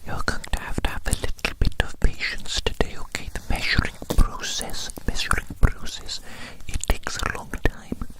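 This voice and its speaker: ASMR roleplay performer: whispered throughout, unhurried, repeats phrases, playful and babying. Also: poor audio. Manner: slow, repetitive, playful, babying.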